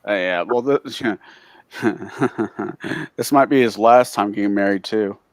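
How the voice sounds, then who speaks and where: A man chatting loudly and boisterously during a tabletop game livestream. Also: fairly low pitch, slow. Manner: loud, boisterous talker. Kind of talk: casual chat on a livestream.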